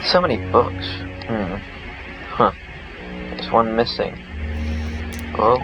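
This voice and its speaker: A man's voice: fairly low pitch, lively intonation, slow pace, highs cut off. A man reading the dialogue of a game aloud as he plays, with game music behind him. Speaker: let's player.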